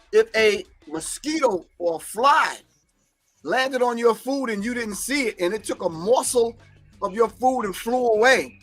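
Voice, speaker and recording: A man with a high, bright voice hosts a casual livestream, speaking in an emphatic, preachy way and talking back to the chat. English is not his first language. Faint music plays behind him. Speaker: host.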